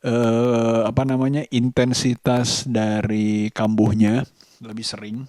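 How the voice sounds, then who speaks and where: A man from Indonesia talks casually to his vlog, thinking aloud in a reflective, halting way as he searches for words. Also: mid-range voice, completely flat delivery, a little mellow, no echo, clean studio sound. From Sukabumi, West Java, Indonesia. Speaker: vlogger, host of his own daily vlog.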